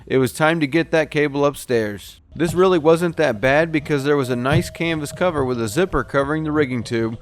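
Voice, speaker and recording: A man's voice: fairly high pitch, a little fast, hardly any pauses. A man narrating a step-by-step boat repair how-to as the show's technician host. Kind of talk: explanatory how-to voice-over narration.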